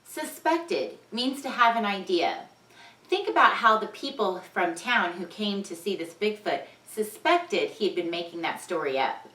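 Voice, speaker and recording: A woman teacher reading a storybook aloud for a children's vocabulary lesson, expressive and deliberate, repeating key words. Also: mid-range voice. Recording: echoey room, distant microphone.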